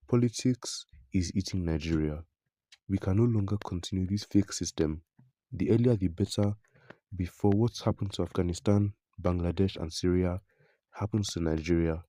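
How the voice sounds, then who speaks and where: A man narrating a news report in a scripted, even read, quoting an interview and reading out public comments. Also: deep voice, normal speed, dry recording, clean studio sound.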